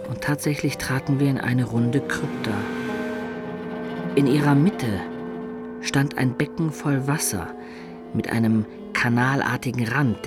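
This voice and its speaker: A man narrating a dark adventure tale in the first person for a German radio play, measured, composed and literary. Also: mid-range voice, close to an everyday voice.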